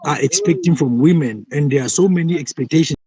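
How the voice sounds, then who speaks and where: A man's voice: fairly high pitch, fast, a loud crowd. A young South African man on a phone video call from a taxi, arguing his point in a casual live debate and repeating himself as he goes. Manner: argumentative, repeats himself, frequent "like". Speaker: call-in guest.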